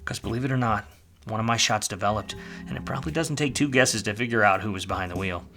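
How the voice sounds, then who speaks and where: A man plays a hardboiled 1940s-style detective, world-weary and quick with a wisecrack. His voice sits fairly low with a hard, forceful edge, telling his story in slangy radio noir fashion over music. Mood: brooding, wry. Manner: wry, slangy, tough-guy delivery. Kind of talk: film noir radio play, first-person narration and dialogue.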